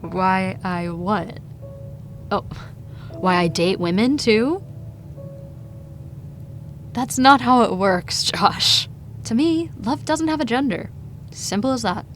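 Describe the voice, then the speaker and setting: A woman's voice: medium pitch, expressive, slow pace, pauses often, soft background music. An actress playing a blunt, wry young woman in a naturalistic audio drama, with casual, sweary delivery. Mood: candid.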